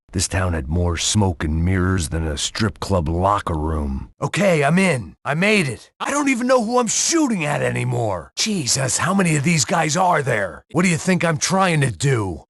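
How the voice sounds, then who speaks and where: A man's voice: lowish voice, dry recording, full character voice. An actor playing a world-weary middle-aged male bodyguard and former cop in a noir video game, with dry, sardonic wisecracks and a bleak inner monologue. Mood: tense terse. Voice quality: gravelly, sardonic.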